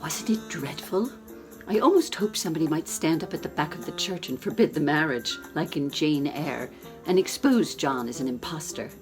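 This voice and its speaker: A woman acts a sociable, candid gossip in a dramatized novel. Her voice sits low and moves animatedly as she confides with dramatic emphasis. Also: animated, normal speed, music in the background. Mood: confiding, brisk.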